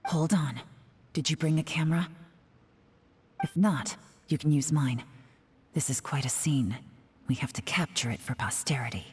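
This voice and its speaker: A woman voicing a cool, composed female spy in a game, with dry, hushed, wry asides. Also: low-pitched, moderate pace, pauses often, a little hiss or hum, natural voice.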